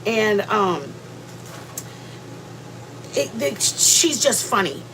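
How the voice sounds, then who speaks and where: A woman's voice: medium pitch, animated, drawn-out words, fairly bright tone, slight background noise, poor audio. A woman hosting a livestream from home, sounding congested and rambling chattily with many restarts. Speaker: livestream host. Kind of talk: casual livestream chat. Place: at home, near the kitchen.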